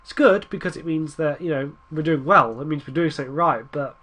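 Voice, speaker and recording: A young man with a blocked, stuffy nose from a cold talks through his football management game in a loose, rambling way. His voice sits a little high for a man, and he restarts sentences often and cheers his side on as matches play out. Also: brisk, bright, forceful tone. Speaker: gaming YouTuber. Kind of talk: casual gameplay commentary.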